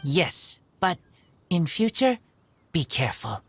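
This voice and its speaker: A woman voicing a blunt but gentle wolf-raised teenage boy in a video game, speaking in halting, broken phrases. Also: low-pitched, sing-song, breathy edge, soft background music, exaggerated voice. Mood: shy, gentle. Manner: halting, clipped broken sentences.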